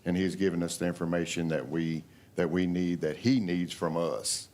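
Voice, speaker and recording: A man, an engineer addressing a planning commission in a halting way, with many fillers and restarts. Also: deep voice, steady tone, darkish tone. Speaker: engineer for applicant. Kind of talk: public comment at meeting.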